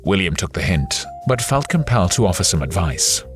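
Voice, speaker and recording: A man's voice: sits low, very animated, brisk, soft background music. A man reading scripted narration for a science fiction audio adventure.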